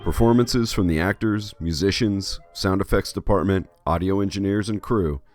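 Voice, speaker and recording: Male video host and artist, lowish voice, conversational scripted narration with light music. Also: moderate pace, a little mellow.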